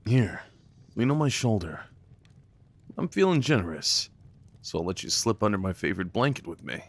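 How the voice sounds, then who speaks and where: A man's voice: on the low side, very animated, moderate pace. A man playing an affectionate, playful boyfriend in an intimate ASMR roleplay, talking tenderly and rambling with light teasing. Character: affectionate, playful, crafty boyfriend cuddling his partner.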